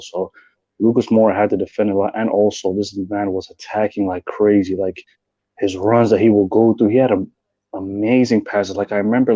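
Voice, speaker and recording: A man's voice: on the low side, warm tone, dry recording, muffled recording. A man calling in to a fan show, breaking down the match in earnest, rambling detail. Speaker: caller, football fan. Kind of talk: casual match analysis.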